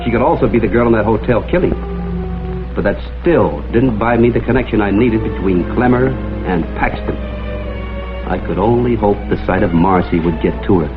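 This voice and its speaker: An actor playing a hardboiled, sardonic detective in a dry, understated way, in the style of an old crime drama. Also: male voice, on the low side, moderate pace, lightly acted. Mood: brooding narration. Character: tough, sardonic hardboiled male private detective. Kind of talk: mid-century hardboiled detective radio-style drama acting. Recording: tinny vintage broadcast with music behind.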